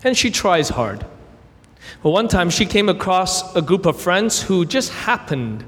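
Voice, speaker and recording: A young adult man raised in Canada, giving a sermon as guest preacher, earnest and gentle, telling stories with brief pauses. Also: high voice, sing-song, big-room sound. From Hong Kong, raised in Canada. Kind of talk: sermon with prayer and illustrations.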